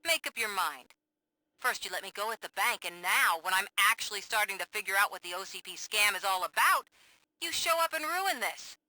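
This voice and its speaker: An actress playing a defiant, distrustful investigative reporter in a video game, speaking in a pointed, challenging way. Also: female voice, medium pitch, lively intonation, brisk, pushed and bright, no room sound, poor audio. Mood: confrontational. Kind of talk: video game cutscene acting.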